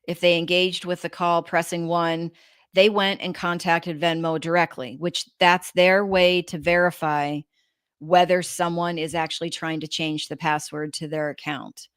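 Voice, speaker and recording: A woman giving an informal explanatory presentation, reading out reports and commenting in a halting, think-aloud way. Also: fairly low pitch, little pitch movement, a bit bright, clear, resonant tone, no room sound, clean studio sound. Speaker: presenter of a scam awareness talk for elderly people.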